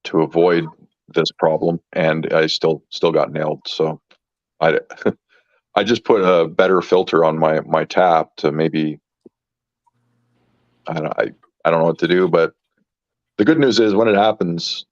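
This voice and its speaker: Deep-voiced man in his fifties, halting. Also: steady tone, no room sound. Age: 50s. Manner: halting, repeats words, searches for words. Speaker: fish keeper. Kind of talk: casual chat. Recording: dull lo-fi sound.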